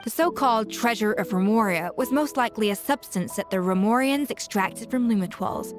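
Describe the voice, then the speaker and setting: A woman's voice: on the high side, lively intonation, brisk, faint music behind, natural voice. A woman playing a self-assured young chef in a video game, passionate and articulate as she explains things. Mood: explanatory. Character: confident, exacting young female chef, passionate about cooking. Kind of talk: video game character dialogue.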